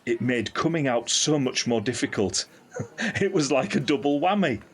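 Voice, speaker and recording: An actor playing a candid, caring middle-aged uncle in an audio drama, speaking in an earnest, reflective, explanatory way. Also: male voice, medium pitch, animated, normal speed, husky. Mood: wry, reflective. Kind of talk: scripted audio drama dialogue.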